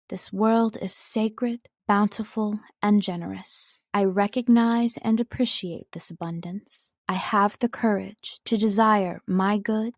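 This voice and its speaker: A woman narrator reads a prepared script in a measured, carefully enunciated way. Her voice sits in a middle register with a noticeable creak, and the recording is dry and close. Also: mid-range voice, dry recording. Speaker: voice-over artist and audiobook narrator.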